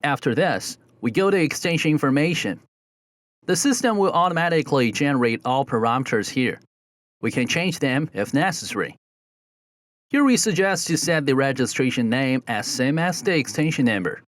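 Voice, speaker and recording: A man reading a scripted step-by-step software tutorial in a methodical, instructional way, as a close voice-over. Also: mid-range voice, lively intonation, normal speed, no room sound. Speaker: tutorial narrator.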